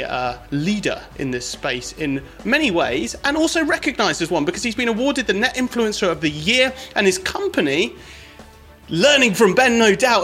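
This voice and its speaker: British guy hosting a podcast, kinda high voice, super enthusiastic, faint music in the back. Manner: energetic, enthusiastic introductions. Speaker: podcast host.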